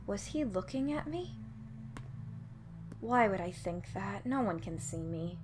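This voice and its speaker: A woman's voice: mid-range voice, animated, measured pace, close to an everyday voice. A woman voicing a curious, chatty, playful young girl ghost in a fan comic dub. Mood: hesitant, curious. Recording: music behind the voice.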